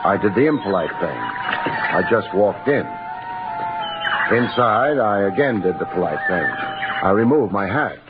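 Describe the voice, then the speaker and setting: An actor playing a wry, cynical private detective, narrating an old-time radio drama in a clipped, deadpan way. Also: male voice, fairly low pitch, unhurried. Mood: wry, grim. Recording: tinny, band-limited vintage radio broadcast. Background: music.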